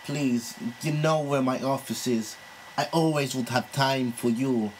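Guy putting on a creepy lecturer, halting and needy, prank call, background hiss. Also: medium pitch, lightly acted, poor audio. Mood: pleading, smitten. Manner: halting, pleading, drawn-out delivery. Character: lovesick creepy Italian male university lecturer.

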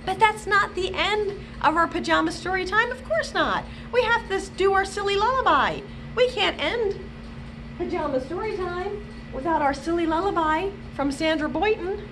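A middle-aged woman hosting a children's storytime in an animated, expressive way, talking to young children. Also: very high voice, normal speed. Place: indoors, small library children's room. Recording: noticeable background room noise.

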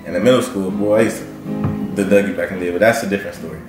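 A man's voice: sits low, rapid-fire, reverberant, over music, low-quality recording. A teenage boy who grew up in Brooklyn and Miami, telling a story in a laid-back, slangy way. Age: teens. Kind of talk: audition self-introduction. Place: living room with bookshelves.

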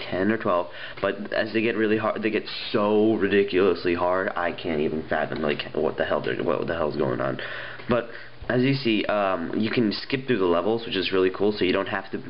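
Stuffy-nosed young man, deep voice. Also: dull audio, a little hiss or hum. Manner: chatty, rambling, lots of ums and restarts. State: stuffy nose, getting sick. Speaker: app reviewer. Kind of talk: casual video review. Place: indoors at a wooden table.

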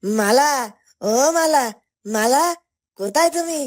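A woman's voice: high-pitched, expressive, slow, darkish tone. A woman voicing a whining, gluttonous, dim husband in a fairytale cartoon, stammering and pleading like a big baby. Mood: whining, hungry. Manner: whiny, stammering starts, pleading. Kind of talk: Bengali fairytale cartoon dubbing.

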